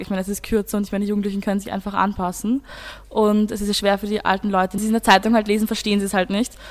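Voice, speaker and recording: A female teenage student with an Austrian accent, talking informally on a radio show. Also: medium pitch. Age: teens. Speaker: student radio host and panelist. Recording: long hall-like reverb.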